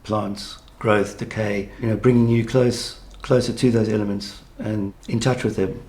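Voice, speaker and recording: A man, an architect, speaking in a measured and articulate way about a design brief. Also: fairly low pitch, fairly even intonation, darkish tone. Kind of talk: reflective conversational chat.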